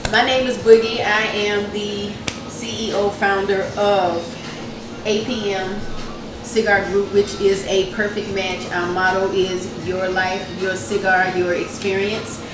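A woman hosting a panel podcast in a relaxed, playful way. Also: mid-range voice. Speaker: podcast host and company founder. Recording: echoey room, noisy, mic at a distance.

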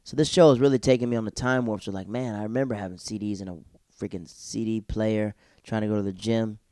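A man's voice: quick pace, no room sound, studio quality. Rambling young man recapping a show. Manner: rambling, easily sidetracked, bursts of excited high-pitched outbursts. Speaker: solo podcast host recapping a TV show. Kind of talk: casual solo chat.

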